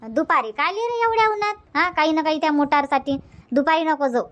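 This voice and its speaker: A woman's voice: high voice, expressive, fairly quick, fairly bright tone, lo-fi sound. A woman voicing a bossy, fussing village mother-in-law in a cartoon comedy, colloquial and repetitive, with a rural Varhadi Marathi accent. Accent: rural Varhadi Marathi from Vidarbha.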